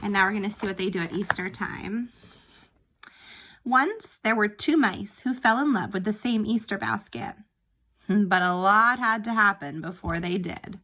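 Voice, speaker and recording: A woman with a bright, forward voice and a middle pitch reads a children's story aloud. She sounds like a teacher, telling it expressively and pausing for little explanations to her young listeners. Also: low-quality recording. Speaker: teacher reading a picture book aloud.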